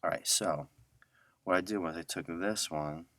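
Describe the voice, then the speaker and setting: A middle-aged man giving a casual audio software tutorial, talking informally and thinking aloud as he works. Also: sits low, normal speed, a little hiss or hum. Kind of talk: casual screen-share tutorial.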